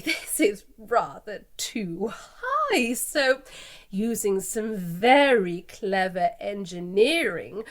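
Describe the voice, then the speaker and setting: An actress playing a breezy, evasive company spokeswoman in a satirical mock news interview, airy and confident as she glosses over problems. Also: fairly high pitch. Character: breezy, evasive female company spokeswoman pitching an absurd scheme.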